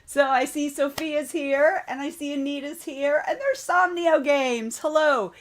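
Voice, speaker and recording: A woman hosting a casual writing livestream, chatting and greeting viewers by name. Her voice is a little hoarse and wobbly, and she keeps clearing her throat. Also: sits high, a little slow. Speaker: livestream host. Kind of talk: casual chat on a writing stream.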